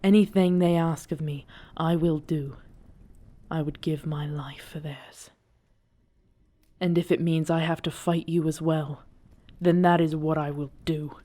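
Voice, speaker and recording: A woman's voice: sits low, fairly even intonation, measured pace, warm tone. A woman playing an earnest, devoted dragon princess and commander in an audio drama, speaking in solemn, formal phrases. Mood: fierce devotion. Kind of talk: scripted audio roleplay drama.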